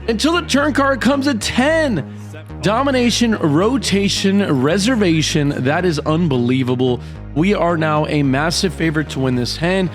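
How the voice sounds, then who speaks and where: A man narrating his poker hands in a voice-over, with hyped, dramatic play-by-play and a slightly hoarse voice from a cold. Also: on the high side. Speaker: poker vlogger. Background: background music.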